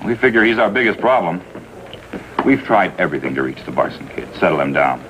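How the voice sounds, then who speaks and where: Brisk American police officer, factual and exasperated. Deep, quick delivery, 1950s crime drama. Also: male voice, sing-song. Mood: weary, factual. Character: businesslike, exasperated male juvenile police officer. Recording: noisy vintage TV soundtrack.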